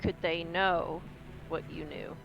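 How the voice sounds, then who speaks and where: A woman's voice: on the low side, steady tone, slow pace, vocal fry, over music. A woman playing an urgent, suspicious crew member in a tabletop roleplay, asking pointed, insistent questions through slightly muffled audio. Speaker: player.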